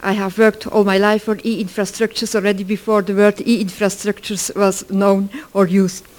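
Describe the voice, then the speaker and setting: Halting presenter, mature voice. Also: mid-range voice, level tone. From Belgium. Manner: halting, self-correcting non-native English phrasing. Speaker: project work package leader, conference presenter. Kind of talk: informal conference presentation.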